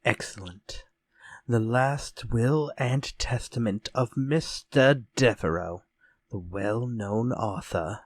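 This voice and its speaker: Radio villain: vengeful male ex-con killer, slow and deliberate, theatrical, taunting menace, medium pitch. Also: no room sound. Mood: quietly menacing. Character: menacing vengeful ex-convict murderer, grown man. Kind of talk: retro radio drama acting.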